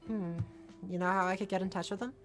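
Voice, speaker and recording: A woman's voice: mid-range voice, steady tone, fast talker, lightly acted. A woman voicing a nervous, wry first-person protagonist in a visual novel, over music. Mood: reluctant, puzzled. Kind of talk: visual novel voice acting and narration.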